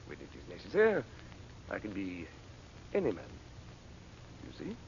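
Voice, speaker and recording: An actor playing a sly sorcerer of French and Egyptian birth, speaking with a French accent in theatrical, sinister 1930s radio drama style. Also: male voice, low voice, huge pitch swings, moderate pace, creaky, heavily acted. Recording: lo-fi old radio transcription with hiss.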